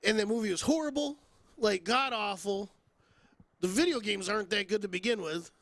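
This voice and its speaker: A man with a fairly high voice chats casually as a podcast co-host. He is blunt and opinionated, peppering his talk with slang and "like". The sound is close and dry. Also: moderate pace, a bit bright, no room sound. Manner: slangy, blunt, heavy use of "like". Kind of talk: casual opinionated film chat.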